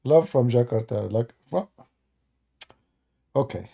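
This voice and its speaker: A man's voice: medium pitch, lively intonation, slow pace, creaky, warm tone, husky. A man from the Middle East, a native Arabic speaker, hosting a casual live stream in broken English, sarcastic and joking as he answers his viewers. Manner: sarcastic and joking, mocks the chat.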